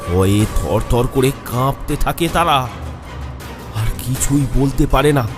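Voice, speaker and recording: A man's voice: medium pitch, lively intonation, unhurried. A man narrating a scripted horror story in a suspenseful, dramatic manner, with music behind him.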